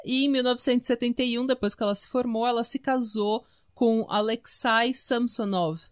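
High-voiced Brazilian woman telling true-crime stories. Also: normal speed. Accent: Brazilian Portuguese. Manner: informal, conversational, full of asides. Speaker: podcast host. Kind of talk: casual true-crime storytelling among friends.

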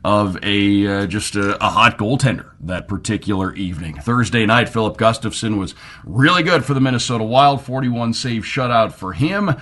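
A man's voice: on the low side, lively intonation, normal speed, fairly bright tone. Chatty man hosting a sports radio show. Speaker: sports radio show host and team broadcaster.

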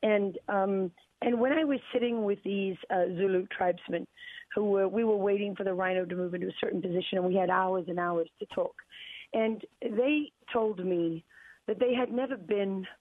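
A middle-aged woman from South Africa, a journalist speaking as a guest in an interview, talking in a deliberate, emphatic way with many fillers and repeated words. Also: mid-range voice, no room sound. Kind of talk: phone-in interview. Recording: tinny dry phone line.

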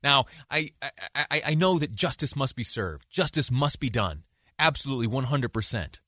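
A man hosting a talk show, giving conversational news commentary in a deliberate way with rhetorical questions and emphatic repetition. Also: mid-range voice, lively intonation, bright, forceful tone, dry recording, clean studio sound.

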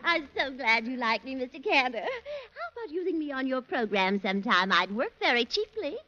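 Shrill, man-hungry radio spinster. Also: very animated, normal speed, pushed and bright, heavily acted. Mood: gushing, flirty. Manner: gushing, fluttery, exaggerated. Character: man-hungry, flighty, flirtatious spinster with a sharp tongue. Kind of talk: 1940s radio comedy acting. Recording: muffled, very noisy 1940s radio recording.

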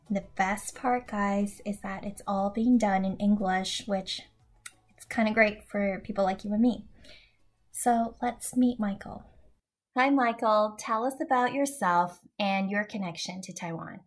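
A woman hosting an interview, introducing her guest and asking questions in an upbeat, enthusiastic way. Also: medium pitch. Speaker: host.

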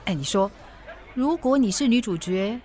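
A woman's voice: medium pitch, expressive, normal speed, warm tone, a faint crowd, lightly acted. An actress in a radio drama playing a stubborn, troubled woman, with a Singaporean accent. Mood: wistful, tipsy. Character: stubborn tipsy woman hiding her serious illness.

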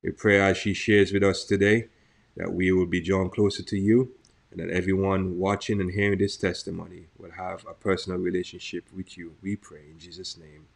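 A man's voice: low-pitched, flat delivery, fairly quick, creaky. A man co-hosting a faith interview show, welcoming a guest and leading a prayer, with many hesitations and repeated welcomes.

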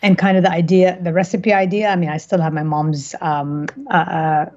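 A woman from Kuwait answering interview questions reflectively, often halting and correcting herself, on slightly dull audio. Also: fairly low pitch, flat delivery, a little mellow. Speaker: interview guest, cookbook author.

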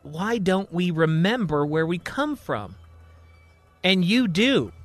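A man's voice: high voice, very animated, takes it slowly, exaggerated voice. A man voicing a bewildered, incredulous woman who questions insistently in an audio drama.

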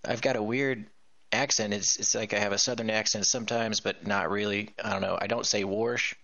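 A man's voice: lowish voice, steady tone, brisk, creaky, sharp tone, dry recording, lo-fi sound. A man from Indiana co-hosting a talk radio show, easygoing and self-deprecating. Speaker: radio co-host. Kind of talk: casual radio banter.

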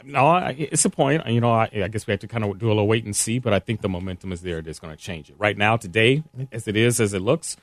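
Fast-talking low-voiced man. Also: no room sound. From Indiana. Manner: quick, upbeat, agreeable. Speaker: coalition organizer on a panel. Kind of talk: casual political discussion.

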